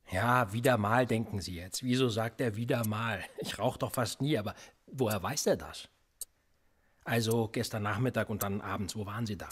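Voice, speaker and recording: An actor playing a cold, precise police interrogator in a radio play, pedantic and insinuating. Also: male voice, medium pitch, husky, close to an everyday voice. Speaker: police officer interrogating a suspect.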